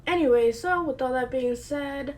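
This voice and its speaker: A woman's voice: high-pitched, normal speed, heavy background noise. A woman hosting a casual video game review, chatty and playful, sounding congested and a bit nasal from a cold. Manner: chatty and playful, with light asides. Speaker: host of a gaming channel.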